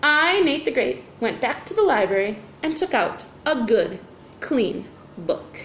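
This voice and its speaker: A woman reads a children's story aloud like a teacher, with deliberate, expressive phrasing. Her voice is somewhat high and very animated, heard in an echoey room. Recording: roomy echo, faint background noise.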